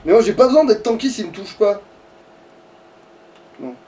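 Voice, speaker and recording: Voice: mid-range voice, animated, moderate pace, dark tone, slight background noise. A player commenting casually on their own game, grumbling and swearing as they go. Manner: foul-mouthed, grumbling. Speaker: let's play player and commentator. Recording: distant mic, music behind.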